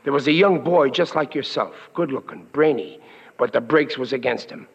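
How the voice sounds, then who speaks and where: A man's voice: mid-range voice, normal speed, vocal fry, breathy edge. An actor playing a blustering, doting working-class New York father, middle-aged and ungrammatical, in 1940s radio comedy style. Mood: earnest lecturing. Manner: folksy, ungrammatical, blustery scolding and reassuring. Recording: muffled vintage radio recording in hall.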